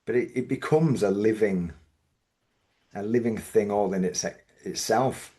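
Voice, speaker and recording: Low-voiced Yorkshire man, thoughtful. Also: mellow. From Yorkshire, England. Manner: thoughtful, measured, with frequent restarts. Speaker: business owner guest. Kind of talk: reflective podcast interview.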